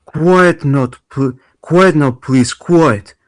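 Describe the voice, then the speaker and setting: A man's voice: fairly high pitch, extremely slow, dry recording. A man playing a formal debate moderator in an amateur table read of a play, stumbling over words and names. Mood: formal, firm. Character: formal male television debate moderator keeping order.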